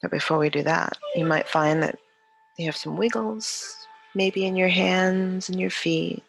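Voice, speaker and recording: A yoga teacher leading a guided meditation in a calm, soothing, unhurried way with long pauses. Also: medium pitch, gravelly, soft background music, poor audio.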